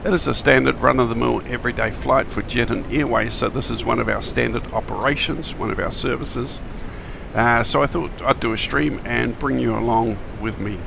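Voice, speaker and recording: Croaky New man streaming flight sim. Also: medium pitch, steady tone, moderate pace, noisy background. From New Zealand. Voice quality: gravelly. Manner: chatty, friendly, many fillers and restarts. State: croaky morning voice. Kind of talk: casual live-stream chat.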